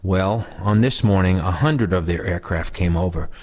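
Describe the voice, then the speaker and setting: A man with a moderately low voice reads recollections aloud. The passages are first-person oral history, delivered as scripted narration. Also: normal speed, darkish tone. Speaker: reader of veterans' recollections.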